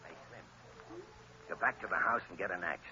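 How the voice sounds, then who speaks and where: An actor in 1930s radio drama style playing a ruthless, hot-tempered gangster boss, tough, slangy and sneering. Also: male voice, low-pitched, normal speed, sharp tone, husky, exaggerated voice. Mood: menacing, controlled. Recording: muffled, noisy 1930s radio recording.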